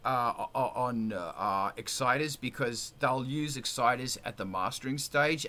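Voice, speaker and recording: A man's voice: medium pitch, sharp tone. A man hosting a casual music production panel, who talks with enthusiasm and repeats words for emphasis.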